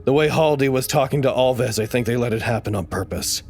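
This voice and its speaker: A man acting as an anxious, earnest researcher in an audio drama, giving dramatic and deliberate log reports. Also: mid-range voice, soft background music, natural voice. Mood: agitated. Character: anxious, earnest male facility researcher narrating crisis logs.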